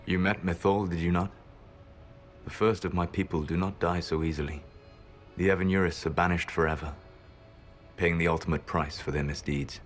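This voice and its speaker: Game casting: male actor as ancient elven god, very low voice, solemn, proud, regretful, measured delivery over music. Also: steady tone, natural voice. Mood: measured, grave. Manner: measured, solemn, formal phrasing. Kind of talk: dramatic video game dialogue.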